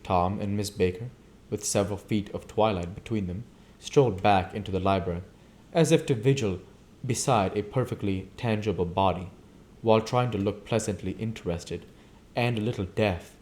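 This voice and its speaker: A man reading a classic novel aloud as an amateur audiobook reader, with deliberate, emphatic, theatrical delivery. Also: lowish voice, a little mellow, a little hiss or hum.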